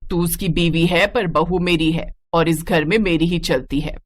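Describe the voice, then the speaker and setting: A woman's voice: fairly low pitch, steady tone, moderate pace, natural voice. Woman acting a bossy traditional mother-in-law. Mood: scolding, commanding. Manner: bossy, scolding. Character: domineering, traditional middle-aged mother-in-law, strict and nagging.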